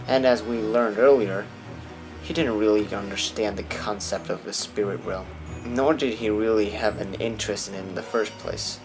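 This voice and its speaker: Man playing a lowish-voiced thoughtful witness. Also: close to an everyday voice, poor audio. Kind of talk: audio drama acting.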